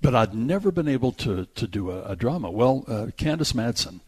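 A man's voice: mid-range voice, sing-song, fairly quick, slightly rough. An older man hosting talk radio, chatting casually in a rambling, anecdotal way. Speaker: radio host.

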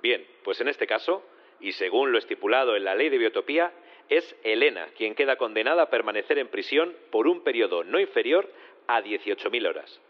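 An actor playing a formal, measured male judge presiding over a courtroom in an audio drama. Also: mid-range voice, lively intonation, narrow, tinny audio, close to an everyday voice. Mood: formal, official.

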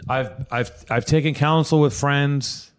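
A man's voice: mid-range voice, steady tone, unhurried, clean tone. A man hosting a casual podcast chat, rambling and restarting his sentences often, on a podcast microphone. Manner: rambling, with many restarts and repeated words. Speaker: podcast host.

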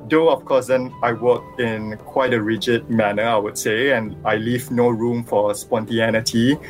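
A man from Singapore with a Singaporean accent, a visual artist calling into a radio interview over the phone, explaining his work in a thoughtful, measured way. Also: medium pitch, steady tone, soft background music, rough recording. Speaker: visual artist and sculptor guest. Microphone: phone line.

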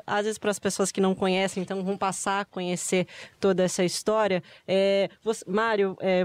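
A woman's voice: medium pitch, a little mellow. Woman hosting a radio-studio podcast. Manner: measured, wraps up with thanks and sign-off. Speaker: podcast host. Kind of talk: interview podcast.

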